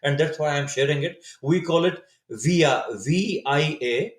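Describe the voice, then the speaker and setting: Native urdu speaker, man in his 50s, kinda high voice, thinking out loud with lots of restarts, echoey room. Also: unhurried. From Saudi Arabia. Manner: reflective, searching for words with frequent restarts. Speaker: podcast guest. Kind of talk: casual interview storytelling.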